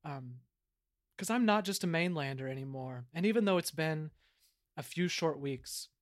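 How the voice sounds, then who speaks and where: A man's voice: fairly high pitch, expressive, lightly acted. A man playing an earnest, grandiose small-town island mayor in an improvised audio comedy, speaking with mock-solemn sincerity. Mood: solemn, grateful. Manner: halting, rambling, sincere, drawn-out words.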